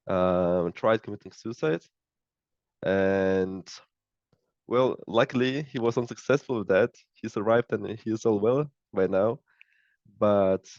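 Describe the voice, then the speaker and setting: A man's voice: fairly low pitch, a little mellow, dry recording, dull audio. A man in his early twenties from Lithuania, speaking English with a Lithuanian accent, answering interview questions hesitantly as he searches for words. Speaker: startup co-founder, podcast guest.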